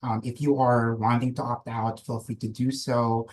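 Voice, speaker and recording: A man whose first language is Filipino, presenting as a nurse practitioner on a video-call webinar, speaking slowly and gently as he leads a grounding exercise. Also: medium pitch, level tone. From Philippines. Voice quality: slow, measured, gentle invitational delivery. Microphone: computer mic on a video call.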